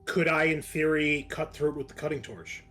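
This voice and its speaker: A man playing a tabletop game, chatting casually and thinking his moves out loud. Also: fairly high pitch, fairly even intonation, normal speed, faint music behind.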